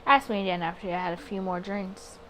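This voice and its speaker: A woman voicing a suave, flirtatious man at a 1920s bar in a visual novel, with a teasing, smooth manner. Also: mid-range voice, brisk, sharp tone, a little hiss or hum, voice pushed down, natural voice, low-quality recording.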